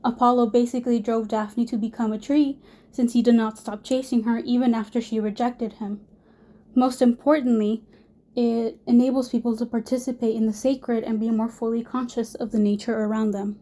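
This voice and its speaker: A young woman, hoarse from a cold, gives a class presentation in a mellow, fairly high voice. She reads from prepared notes and restarts a word now and then. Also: level tone, normal speed. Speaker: student presenter.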